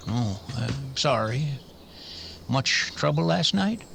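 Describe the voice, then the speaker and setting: An actor playing a folksy, fussy old town doctor who stammers and gossips wryly in a radio western. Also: male voice, medium pitch, expressive, takes it slowly, gravelly, fairly bright tone, slight background noise, full character voice. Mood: gossipy, sly. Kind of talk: old-time radio western drama acting.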